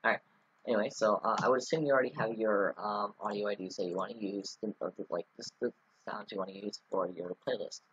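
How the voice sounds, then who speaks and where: A man's voice: on the low side, fairly even intonation, fast, fairly bright tone, breathy edge, no echo, muffled recording. A man talking through a casual screen-recorded coding tutorial, rambling with many ums and restarts. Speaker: scripting tutorial host.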